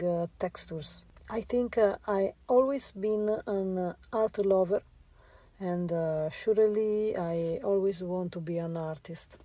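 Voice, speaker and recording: Slow, halting Italian-accented woman. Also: medium pitch, dark tone, no room sound. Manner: halting, many filler sounds. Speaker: interview guest, visual artist. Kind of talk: interview answers. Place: radio studio.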